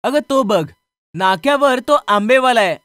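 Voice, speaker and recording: Man playing an eager high-pitched young man. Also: animated, normal speed, a bit bright, clear, resonant tone, no room sound, studio quality. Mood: eager, curious. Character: eager, curious young man visiting his hometown.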